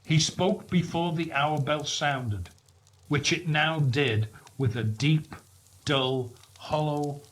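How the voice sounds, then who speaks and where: A man reading a storybook aloud in an expressive narration, with measured, deliberate and dramatic phrasing. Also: fairly high pitch, low-quality recording. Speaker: story reader.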